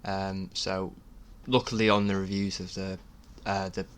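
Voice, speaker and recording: A man talks casually over his DIY build video in a low, very even voice. He is hesitant and modest, often halting as he explains. There is clear noise in the recording behind him. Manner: hesitant, halting, self-effacing. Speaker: DIY builder narrating own project.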